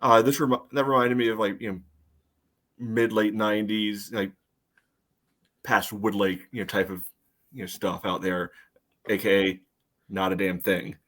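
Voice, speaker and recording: A middle-aged man with a fairly low voice talks casually on a soccer podcast. He meanders through his thoughts, padding them with plenty of "you know.". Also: fairly even intonation, moderate pace, pauses often, fairly bright tone. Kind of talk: casual sports chat.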